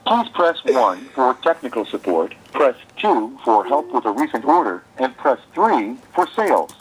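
A man's mid-pitched automated customer service voice gives polite scripted prompts. The intonation is lively, and it comes over a phone call played from a laptop speaker with faint noise. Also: moderate pace. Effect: phone call through laptop speaker.

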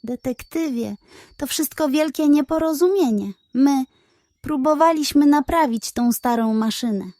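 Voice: sits high, a little slow, darkish tone, dry recording, studio quality, pitched above the performer's own voice. A woman voicing an anxious, apologetic male porcupine explaining himself in a children's bedtime story. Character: sheepish, anxious male porcupine, father of large family.

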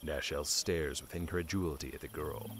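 A man's voice: a bass voice, fairly even intonation, normal speed, background noise. A man reading scripted narration for a fantasy audio drama.

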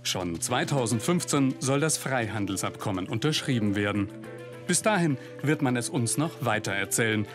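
A man's voice: medium pitch, lively intonation, normal speed. A man reading a scripted investigative news report as a voice-over, with pointed, ironic emphasis. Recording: narration over loud music bed.

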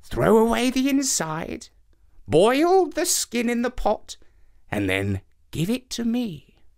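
Man voicing a slow, high-pitched commanding old woman. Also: wildly swooping pitch, creaky, darkish tone, heavily acted. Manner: slow, deliberate instructions. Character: commanding old woman giving strange errands.

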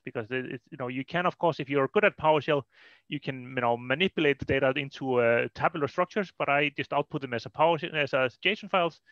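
A man's voice: medium pitch, fairly bright tone, no room sound. A man with an Icelandic accent giving an informal, explanatory technical presentation at an online meetup, often saying "you know". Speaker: presenter, data consultant. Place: indoors on a video call. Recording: dull lo-fi online call audio.

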